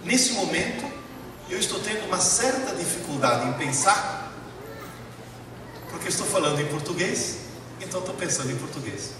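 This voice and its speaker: A man speaking with an Italian accent gives an emphatic lecture to a hall audience. His voice is high and swings widely as he drives home his points, and it is caught from a distance in a large, echoey room. Steady noise hangs behind him. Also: moderate pace, long pauses. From Italy. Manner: emphatic, rhetorical questions, addresses the audience directly. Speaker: professor giving a lecture. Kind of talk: public lecture to a live audience.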